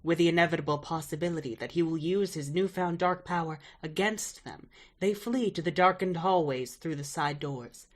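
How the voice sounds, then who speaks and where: A woman playing a formal storyteller, narrating a horror audio drama in a measured way. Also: low-pitched. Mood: ominous, composed.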